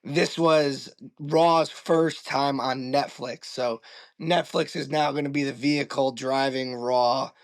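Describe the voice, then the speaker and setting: A man with a raspy, hoarse voice from yelling, co-hosting a casual sports podcast with an enthusiastic, teasing manner. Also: medium pitch, steady tone, takes it slowly, dry recording. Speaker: podcast co-host.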